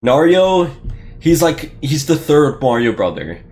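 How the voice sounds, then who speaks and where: A man's voice: a little high, big pitch swings, measured pace, darkish tone. Casual young man, slangy and informal. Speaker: host answering listener questions. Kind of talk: casual chat.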